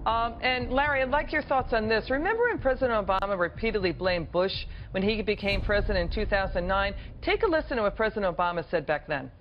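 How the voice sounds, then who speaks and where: A woman's voice: mid-range voice, expressive, quick pace, hardly any pauses, slight background noise. An American woman hosting a broadcast news interview and putting questions to guests. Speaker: TV news host. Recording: muffled broadcast audio with loud music. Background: music.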